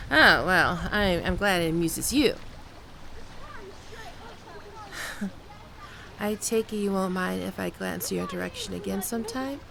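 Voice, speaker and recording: A woman's voice: medium pitch, expressive, creaky, pushed and bright, slight background noise, full character voice. A woman playing a flirtatious, playful holidaymaker in an ASMR roleplay, talking in a coy, teasing, conversational way. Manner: coy, teasing, giggly, unhurried with pauses.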